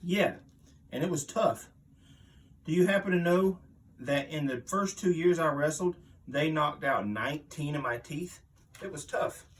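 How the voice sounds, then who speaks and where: A man performs a veteran wrestling heel with a somewhat high voice, set back from the microphone. He is mean and full of bluster, bragging and griping in the style of a wrestling promo interview. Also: a little slow, distant mic, natural voice. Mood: world-weary. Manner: boastful, blustering, aggrieved. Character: boastful, mean veteran pro wrestler villain, male, older. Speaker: reader voicing an interviewed wrestler. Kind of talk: dramatic magazine interview reading, wrestling promo.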